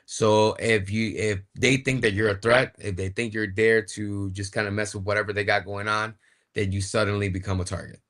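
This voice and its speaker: A man's voice: fairly low pitch, level tone, normal speed, fairly bright tone. A man, a wrestler, chatting as an interview guest and explaining things in a plain-spoken, casual way.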